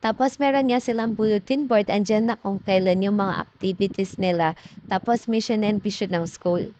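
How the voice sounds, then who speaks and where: A woman's voice: medium pitch, moderate pace, dark tone, highs cut off, slight background noise. A young Filipino woman with a chatty, informal manner, narrating a casual vlog as a voice-over. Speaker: mom vlogger.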